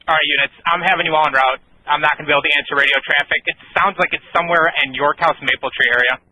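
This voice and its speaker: A man's voice: a little high, steady tone, rapid-fire, background noise. A man working as an emergency dispatcher, giving urgent dispatches over a two-way radio. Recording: emergency scanner radio audio.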